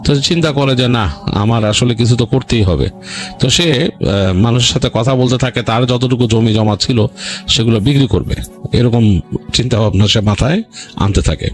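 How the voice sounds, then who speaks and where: A man with a Bangladeshi accent hosting a story show, narrating in an unscripted, halting, conversational way and often rephrasing himself. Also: mid-range voice. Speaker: horror story show host. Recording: music bed under voice. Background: background music.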